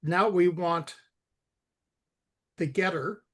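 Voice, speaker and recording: Male coding mentor, fairly high voice, unhurried and halting delivery, informal step-by-step instruction. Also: a bit bright. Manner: halting, repeats words, spells things out. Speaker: software expert guiding a coding session.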